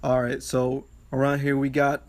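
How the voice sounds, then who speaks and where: A man's voice: medium pitch, completely flat delivery, moderate pace. A young man hosting a casual podcast panel outdoors at night, laid-back and steering the chat. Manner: laid-back, hosting over side chatter. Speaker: podcast host.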